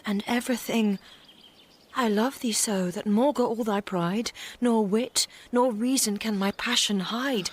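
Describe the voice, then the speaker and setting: Actress as slow, ardent noblewoman. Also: mid-range voice, close to an everyday voice. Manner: ardent, pleading. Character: lovestruck, proud young noblewoman. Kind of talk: Shakespeare radio drama acting, verse.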